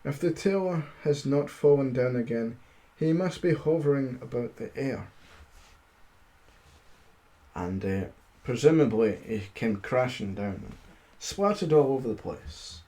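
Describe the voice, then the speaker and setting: A man streaming amateur storytelling, halting as he reads, with casual, self-deprecating asides. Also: mid-range voice, expressive, dark tone. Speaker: streamer reading stories aloud.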